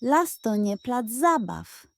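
A woman's voice: on the high side, sing-song, slow pace, creaky, fairly bright tone, no room sound, full character voice. A woman voicing a grumpy old male turtle who scolds and growls indignantly in a children's story. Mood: angry, scolding.

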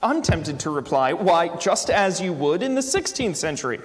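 A man in a church doing a dramatic reading as an eloquent, stoical British man of letters, with a put-on British accent and emphatic, measured delivery. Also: a little high, very animated. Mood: emphatic, reasoning. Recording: reverberant church hall.

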